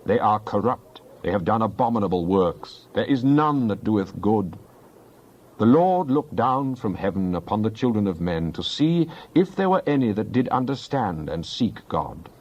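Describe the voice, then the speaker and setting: A man playing a devout psalmist in a dramatized Bible reading, praying in an earnest, deliberate, declamatory way. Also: on the low side, sing-song, darkish tone, lo-fi sound. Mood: solemn, declamatory. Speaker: scripture reader.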